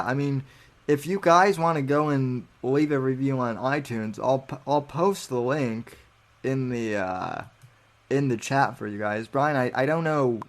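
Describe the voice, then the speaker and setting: A young man hosting a podcast livestream, reading chat comments aloud and answering critics in a halting way with many restarts. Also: medium pitch. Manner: defensive, mocking chat critics.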